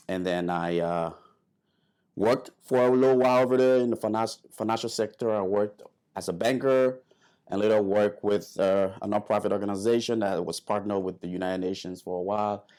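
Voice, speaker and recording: A man from Liberia telling his story in an interview, halting with many fillers and restarts. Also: low voice, a little mellow. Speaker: interview guest, airman.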